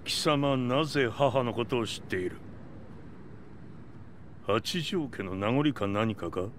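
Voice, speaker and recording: An actor playing a cold, vengeful fighter in a video game, curt and contemptuous in Japanese. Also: male voice, medium pitch, normal speed, a bit bright, slight background noise, close to an everyday voice. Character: cold, arrogant, vengeful male fighter in his prime.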